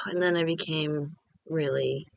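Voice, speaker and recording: A woman's voice: low voice, fairly even intonation, slow pace, vocal fry, a bit bright, no room sound. Candid, rambling woman vlogging at home. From Korean American. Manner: candid, rambling, self-deprecating. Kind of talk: casual confessional vlog.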